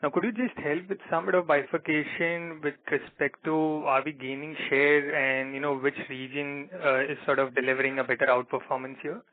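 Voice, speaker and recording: A man's voice: on the high side, little pitch movement, brisk, hardly any pauses, no echo. An Indian-accented man, an analyst, politely asking questions on an earnings call. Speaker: analyst calling in. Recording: dry, narrow phone line.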